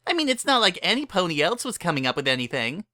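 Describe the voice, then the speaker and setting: A narrator voicing an excitable, cheerful young female party pony in a bubbly, insistent manner. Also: mid-range voice, fast, dry recording, pitched above the performer's own voice. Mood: eager, bubbly.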